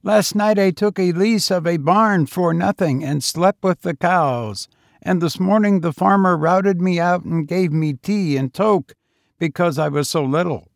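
Male reader as a husky, frail boy tramp; raised pitch, louder, dry. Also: measured pace, dry recording. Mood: pleading. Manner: eager, talkative, fatalistic. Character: frail teenage boy tramp, coughing and hoarse.

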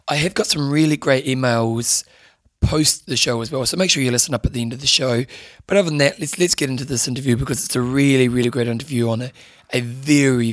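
A man hosting a podcast in a casual, rambling way, his voice hoarse and strained. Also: mid-range voice, no echo, clean studio sound. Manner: rambling, many restarts and fillers. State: strained, losing voice. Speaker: podcast host.

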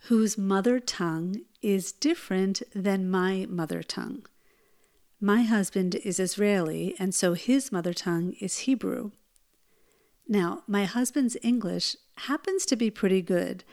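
A woman hosting her own podcast, speaking in a gentle, earnest way and telling personal stories. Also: medium pitch. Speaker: podcast host. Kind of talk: solo podcast talk.

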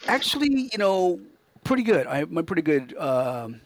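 Older male interviewee: higher-pitched, gravelly fry, earnest and rambling, conversational anecdotes with repeated phrases. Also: very animated, moderate pace, dry recording. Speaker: professional Santa Claus performer, interview guest. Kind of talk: casual anecdotal chat.

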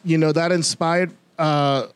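A Bahamian man with a mixed Bahamian and American accent, talking as a filmmaker guest in a casual podcast conversation, thoughtful and measured. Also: fairly high pitch, steady tone, clean tone. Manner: reflective, measured storytelling. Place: outdoors beside a street.

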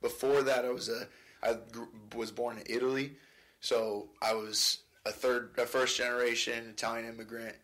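An American man in his twenties chatting casually as a podcast guest, in a halting, rambling, slangy way. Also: medium pitch, flat delivery, a bit bright. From United States, California. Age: 20s. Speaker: podcast guest, amateur fighter. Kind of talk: casual chat.

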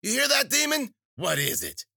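A man's voice: extremely high pitch, huge pitch swings, moderate pace, gravelly, sharp tone, breathy edge, no room sound, studio quality, voice pushed up, full character voice. A man voicing a spiteful old woman witch, scolding and cursing.

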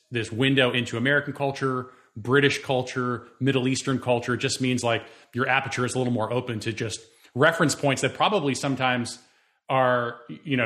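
A man hosts the conversation, framing his questions with care. His speech is articulate and reflective, with a steady, mid-range voice. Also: medium pitch. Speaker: interviewer. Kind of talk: thoughtful interview questions.

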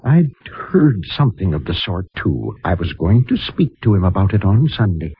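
An actor playing a kindly, righteous mission priest in a gentle, measured, formal manner, in a 1950s radio drama. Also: male voice, low-pitched, very animated, husky, no echo, exaggerated voice. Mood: guarded, concerned. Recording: muffled, narrow-band vintage radio recording.

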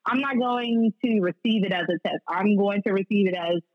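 A woman's voice: medium pitch, moderate pace, no room sound, phone-quality sound. A woman speaking candidly and slangily as a guest sports reporter in a casual podcast conversation.

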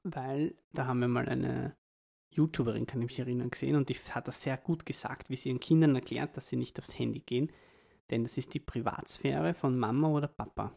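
Casual podcast host, young adult, Austrian accent, creaky mid-range voice, loose rambling delivery. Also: mid-range voice, brisk, mellow.

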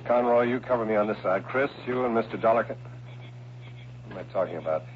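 Actor as commanding police lieutenant, tinny old radio. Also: male voice, fairly low pitch, a little fast, natural voice. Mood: tense, cautious. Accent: American. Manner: authoritative, emphatic, clipped orders. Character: brisk, commanding male police lieutenant, gruff and impatient. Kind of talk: 1960s radio drama acting. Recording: thin, narrow, noisy old radio broadcast.

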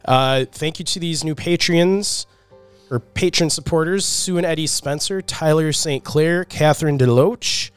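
A man's voice: a little high, a little slow, clean tone, soft background music. A man co-hosting a podcast in casual chat, a little congested from a cold, talking loosely with many fillers. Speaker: podcast co-host.

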